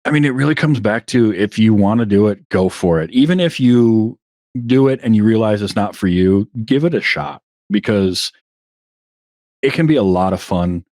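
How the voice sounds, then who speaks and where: Guy chatting easy on a podcast, kinda low voice, dry close sound. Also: moderate pace, dry recording. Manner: relaxed, chatty, frequent fillers. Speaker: podcast guest. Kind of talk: casual interview chat.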